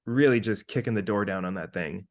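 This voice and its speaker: A man in his thirties talking casually as a podcast co-host, animated and sweary as he thinks out loud. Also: on the low side, a little fast. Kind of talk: casual conversational monologue with hypothetical examples.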